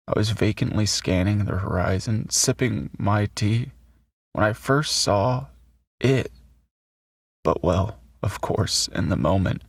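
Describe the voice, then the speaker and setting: A man voicing a shaken, numb student survivor who gives a halting testimony in a raspy voice, like an audio drama monologue. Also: mid-range voice, no room sound, exaggerated voice. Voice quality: raspy, breathy. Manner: halting, numb, throat-clearing.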